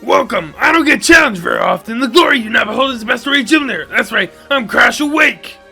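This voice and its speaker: Loud, bright showboating wrestler. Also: male voice, lively intonation, a little fast, vocal fry, soft background music, exaggerated voice. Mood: boastful, pumped. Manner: booming, showboating. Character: boisterous, showy masked male wrestler, proud of his strength. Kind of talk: video game character reel.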